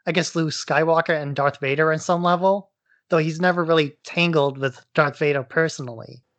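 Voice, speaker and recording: A man's voice: fairly high pitch, a bit bright, no echo. A man co-hosting a casual podcast, speculating in a tentative way as he pieces his ideas together. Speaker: podcast co-host.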